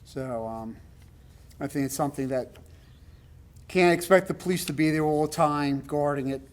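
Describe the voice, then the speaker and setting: A man with a loud voice giving a rambling, emphatic public comment at a town meeting, with many fillers. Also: on the high side, normal speed. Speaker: resident speaking at public comment.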